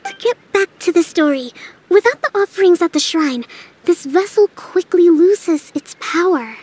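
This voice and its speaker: A woman playing a calm, learned young female leader in a video game, speaking in a measured, gentle way. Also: very high-pitched. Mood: calm, explanatory.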